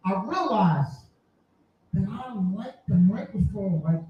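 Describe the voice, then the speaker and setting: A man in his forties with a strikingly high voice tells stories in a boisterous, casual way, repeating phrases for effect. He sounds far from the mic in a very echoey room. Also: expressive, unhurried, lo-fi sound. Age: 40s. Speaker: podcaster telling their story at a live event. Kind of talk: casual live storytelling to an audience.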